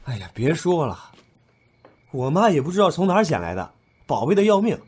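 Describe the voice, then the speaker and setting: A man's voice: fairly high pitch, sing-song, brisk, darkish tone, husky. An actor playing a level-headed, talkative young man among friends in an audio drama. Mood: sheepish, chatty. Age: young adult. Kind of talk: Chinese audio drama acting.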